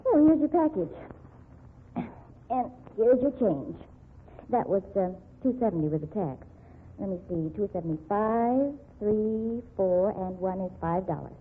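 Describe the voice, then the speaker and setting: An earnest young woman clerk, polite and protesting. Her voice is slightly husky and comes through a narrow, phone-like vintage recording in an old-time radio drama style. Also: medium pitch, animated, measured pace. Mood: polite, helpful. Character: earnest young store clerk, wrongly accused, indignant.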